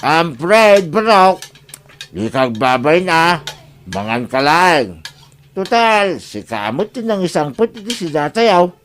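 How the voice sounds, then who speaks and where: A man's voice: on the high side, very animated, takes it slowly, a bit bright, slight background noise, full character voice. A man playing a jolly, teasing old father in an Ilocano audio drama. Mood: jovial.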